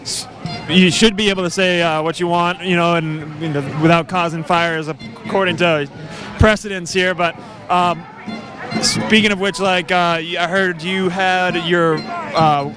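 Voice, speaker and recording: A man's voice: high voice, steady tone, over music. Hesitant man asking interview questions. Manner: hesitant, halting, full of fillers. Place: outdoors at a city protest.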